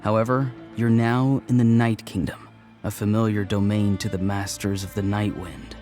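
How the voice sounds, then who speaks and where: A man plays a young mystic schemer. He is calm, courteous and assured, choosing formal words in a measured game-drama delivery over faint music. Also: on the low side, natural voice. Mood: apologetic, controlled. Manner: polite, measured, formal, speaks in mystical similes.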